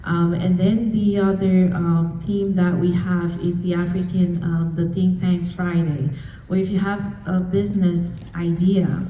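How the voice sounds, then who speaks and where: Woman organizer, measured announcements, dark low tone, roomy distance. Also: little pitch movement. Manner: measured, many fillers. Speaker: event organizer. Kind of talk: event announcements. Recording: echoey room, distant mic, faint noise.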